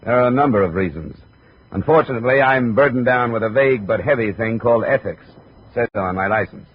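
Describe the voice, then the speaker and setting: An American actor playing a sardonic, skeptical private investigator in a 1950s hardboiled radio drama. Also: male voice, medium pitch, quick pace, slightly rough, natural voice. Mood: firm, sardonic. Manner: sardonic, probing. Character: wry, skeptical, tough male private investigator. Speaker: lead detective of the series. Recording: dull, noisy 1950s radio recording.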